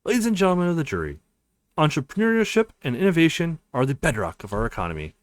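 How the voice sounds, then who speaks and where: A man playing a formal, solemn attorney in a visual novel, speaking in measured oratory to a jury. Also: a little high, big pitch swings, no echo. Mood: solemn, grand.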